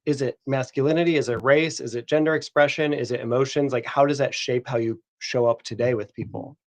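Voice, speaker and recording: Fast talking podcast host guy, lots of little mm-hmms and wows. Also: medium pitch, steady tone. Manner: short murmured backchannel reactions. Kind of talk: casual interview.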